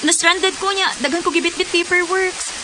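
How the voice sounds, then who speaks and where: A woman's voice: very high voice, fairly even intonation, moderate pace. An actress playing an anxious young woman stranded in the rain in a radio drama. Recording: very echoey room.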